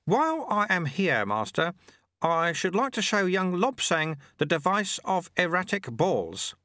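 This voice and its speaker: A man voicing a little bald wrinkly old man who is sly, kindly and gently teasing. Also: big pitch swings, a little slow, no echo, clean studio sound, heavily acted. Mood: amused kindly. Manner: genial, gently teasing, high and bright.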